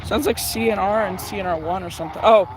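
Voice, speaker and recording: A man giving casual live commentary while tuning a radio, talking haltingly as if muttering to himself. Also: high-pitched, a crowd in the background, low-quality recording. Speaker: shortwave radio livestream host. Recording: loud background radio static and noise.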